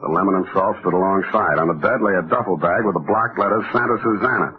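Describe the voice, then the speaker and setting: A man's voice: deep voice, fast, close to an everyday voice. Guy playing cocky american gumshoe, old radio show, muffled sound, glib wisecracks, wordy noir narration. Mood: wry narrating. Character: wisecracking hard-boiled male private detective, cocky and flirtatious. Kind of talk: old-time radio detective acting, dictation and narration. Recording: dull lo-fi old radio recording.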